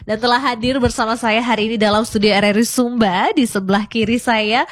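A woman's voice: on the high side, steady tone, a little fast. A woman hosting a live radio talk show, chatty and effusive, with exclamations and giggles. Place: radio studio.

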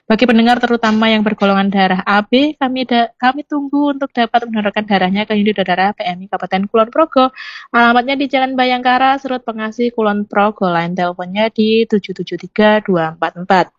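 Woman, hoarse, friendly staffer reading out schedules and lists of numbers on a muffled phone-in line. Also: on the high side, steady tone, fairly quick, no echo. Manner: friendly, reads out lists of figures. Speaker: blood donor unit staff. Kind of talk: radio phone-in report.

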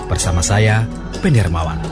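Man, very deep voice, scripted documentary narration, measured and formal, loud music bed underneath.

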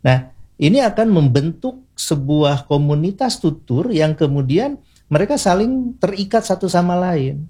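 A man's voice: on the high side, big pitch swings, moderate pace, dark tone, husky. A man with an Indonesian accent speaking as an expert guest in an interview, explaining things in a didactic way, point by point, with short tag words. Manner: didactic, enumerating points, often adds short tag particles.

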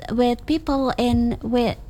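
A woman's voice: fairly high pitch, fairly even intonation, takes it slowly, dark tone. A woman whose first language is Javanese, an English lecturer speaking with a Javanese Indonesian accent, explaining things patiently as a radio guest.

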